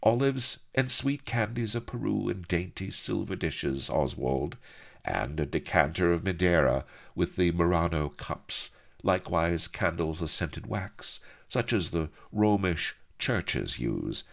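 A languid pirate seductress from a swashbuckling storybook, acted out by a male reader. The delivery purrs and pouts, swooping about in pitch with a coy, dreamy air and an imperious edge. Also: lively intonation, a little slow, creaky, full character voice. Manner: dreamy, pouting, purring delivery. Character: languid, flirtatious pirate woman, purring and imperious.

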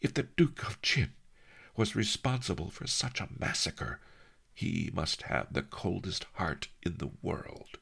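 A man voicing an earnest, deferential young helper in a story, breathy and urgent. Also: low-pitched, big pitch swings, unhurried, fairly bright tone, pitched above the performer's own voice, full character voice. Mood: shocked, earnest.

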